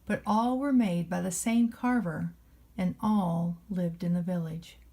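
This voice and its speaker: Mellow-voiced woman reading a children's storybook. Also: fairly low pitch, steady tone, unhurried. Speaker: storybook reader playing a nanny. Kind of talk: children's storybook read-aloud narration.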